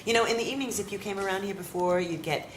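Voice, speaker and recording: A woman's voice: medium pitch, racing, noisy background, lightly acted. A woman voicing a friendly, gossipy female shopkeeper who calls customers honey. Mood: chatty.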